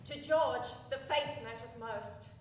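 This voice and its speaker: A woman playing a brisk, devout, commanding attendant in a stage play, declaiming and instructing. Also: a little high. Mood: exalted. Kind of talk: live stage acting. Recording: very echoey theatre, distant mic, faint noise.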